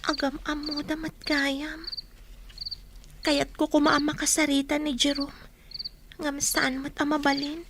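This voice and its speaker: A woman's voice: sits high, little pitch movement, a little slow, long pauses. A woman acting a brash, stubborn young woman chasing a man in an amateur audio drama. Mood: brooding. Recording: clearly noisy home recording with clicks.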